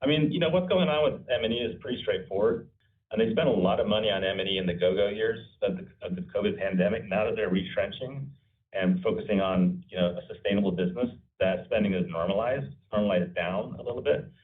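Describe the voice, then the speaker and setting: A man's voice: fairly low pitch, fast. A male company executive giving off-the-cuff answers on an earnings call, often repeating and restarting phrases. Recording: distant mic, muffled phone-like conference line.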